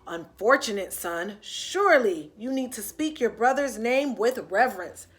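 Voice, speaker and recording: A woman's voice: a little high, expressive, a little slow. A woman voicing a stern, formal mother who scolds her son in a raised voice. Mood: scolding. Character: stern, busy mother who turns frantic.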